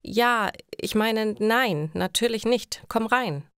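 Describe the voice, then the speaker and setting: A woman as an awkward, accommodating man, pitched up. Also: slow, fairly bright tone, clear, resonant tone, no room sound, clean studio sound. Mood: flustered. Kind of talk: German learner story dialogue.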